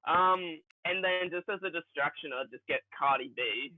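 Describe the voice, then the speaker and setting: A man co-hosting a casual comedy podcast, telling absurd jokes in a rambling, halting way. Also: on the high side, no room sound, phone-quality sound. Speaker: podcast co-host.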